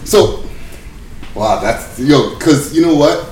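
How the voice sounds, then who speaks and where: A man's voice: a little high, expressive, slow, a little mellow, echoey room, slight background noise, rough recording. A man hosting a hip hop radio interview, chatting casually and playfully in slangy speech. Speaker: radio show host.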